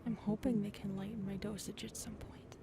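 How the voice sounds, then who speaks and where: A woman voicing an affectionate, playful alien lover in an ASMR roleplay, speaking softly and intimately and often dropping into breathy whispers. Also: mid-range voice, flat delivery, brisk, heavy background noise, natural voice. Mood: weary. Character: affectionate, playful adult female alien lover, tall otherworldly species.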